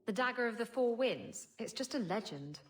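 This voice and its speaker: A woman's voice: a little high, lively intonation, normal speed, natural voice. A woman voicing a brash, saucy and suspicious female pirate captain in a video game, salty and sarcastic.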